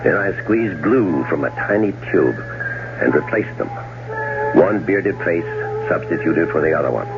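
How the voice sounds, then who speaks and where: An actor playing a cold-blooded, middle-aged scheming brother in a 1970s radio drama, suave, sardonic and deliberate. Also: male voice, low voice, animated, music in the background. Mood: measured narrating. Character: scheming, cold-blooded older brother plotting his wife's murder. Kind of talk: 1970s radio drama acting and first-person narration. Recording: dull, noisy old radio broadcast recording.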